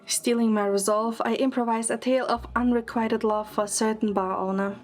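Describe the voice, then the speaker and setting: A woman's voice: a little high, steady tone, brisk, soft background music. A woman performing scripted first-person narration and lead dialogue in an audio drama.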